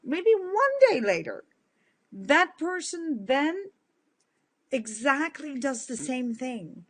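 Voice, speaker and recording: A middle-aged woman with a big booming voice, giving an earnest, emphatic motivational talk straight to camera and repeating words for stress. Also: big pitch swings, slow. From Netherlands. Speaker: motivational speaker. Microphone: facing several cameras.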